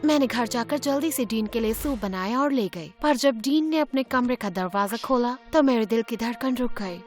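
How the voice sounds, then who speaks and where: High-pitched earnest teenage girl played by a woman. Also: lively intonation, normal speed, close to an everyday voice. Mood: narrating, confiding. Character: teenage schoolgirl narrating her own story, awkward and earnest. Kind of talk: dramatised first-person animated story narration. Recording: music behind the voice.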